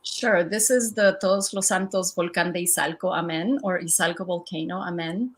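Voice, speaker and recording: A woman's voice: lowish voice, normal speed. Reflective woman artist guest. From El Salvador. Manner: thoughtful, reflective, with frequent ums. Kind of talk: conversational museum artist talk.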